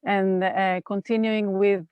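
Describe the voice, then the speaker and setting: A woman in her fifties with an Israeli accent talks slowly and reflectively, pausing to gather her thoughts. Her voice sits at an average pitch and comes through dry with no room sound. The video call audio is slightly muffled. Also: flat delivery, clear, resonant tone, no room sound. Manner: thoughtful, unhurried, with pauses and fillers. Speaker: guest, NVC trainer. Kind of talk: reflective interview talk. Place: home in California.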